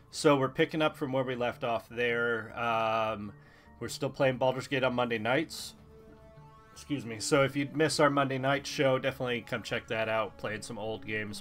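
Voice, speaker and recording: A man hosting a let's play, talking casually with lots of ums, his voice stuffy and a little hoarse. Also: mid-range voice. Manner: rambling, relaxed, many ums. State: stuffy, slightly hoarse throat. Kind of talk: casual gameplay commentary. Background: faint game music.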